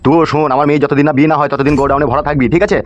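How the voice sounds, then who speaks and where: A man's voice: a little high, little pitch movement, racing, dull audio, slight background noise, close to an everyday voice. A man voicing an angry middle-aged village politician in a comedy cartoon, domineering and threatening. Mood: bossy. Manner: threatening, foul-mouthed, domineering. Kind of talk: amateur comedy cartoon dialogue. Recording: music behind the voice.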